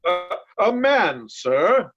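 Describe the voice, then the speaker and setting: A man's voice: high voice, very animated, extremely slow, a bit bright, slightly rough, no echo, heavily acted. A man playing a loyal, blunt nobleman disguised as a servant in readers' theatre Shakespeare, earnest and deferential, on a lo-fi recording. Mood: plain, earnest. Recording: dull, lo-fi, dry sound.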